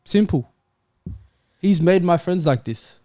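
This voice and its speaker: A man's voice: high voice, lively intonation, slow pace, warm tone, no echo, clean studio sound. A man co-hosting a football podcast with a plaintive, melodramatic delivery, doing a mock-sad comic monologue to his friends. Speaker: podcast co-host.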